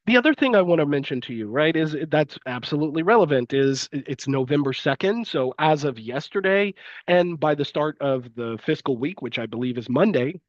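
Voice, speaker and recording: Male lecturer, sniffly from a cold, halting delivery, close and dry, a little dull in tone. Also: fairly high pitch, lively intonation, no room sound. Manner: hesitant, many fillers and restarts. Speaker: university lecturer. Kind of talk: live-streamed lecture.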